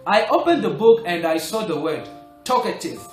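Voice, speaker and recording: Man, French native, high voice, earnest coaching tone, roomy echo, faint music underneath. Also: big pitch swings, normal speed. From Republic of the Congo. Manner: earnest, coaching, stresses key points. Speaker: language-learning vlogger. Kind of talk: motivational talk to camera.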